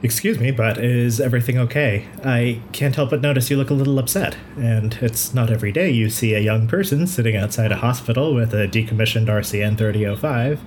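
A kindly, considerate hospital pathologist played by a man in an audio drama. The talk is brisk and chatty, thinking things through aloud. Ambient noise is clear behind the voice. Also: mid-range voice, darkish tone, natural voice. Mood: kind, friendly.

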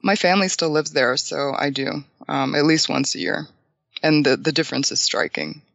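A man, answering interview questions in a thoughtful, hesitant way with many pauses and restarts. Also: fairly high pitch, lively intonation, vocal fry, a bit bright, no room sound. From Bulgaria. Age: 20s. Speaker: guest.

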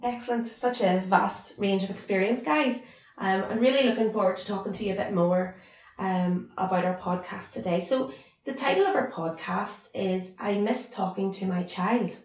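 A woman's voice: mid-range voice, normal speed, a little mellow. A woman, hosting a podcast and welcoming her guests as she steers the talk. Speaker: podcast host and service lead. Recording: echoey room.

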